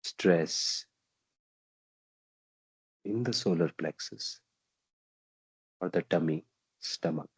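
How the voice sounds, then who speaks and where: Very slow, deep-voiced Indian man guiding meditation. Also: husky, no echo, poor audio. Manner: gentle, unhurried pauses, simple repeated phrases. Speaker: meditation guide. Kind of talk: guided meditation, slow calming instruction.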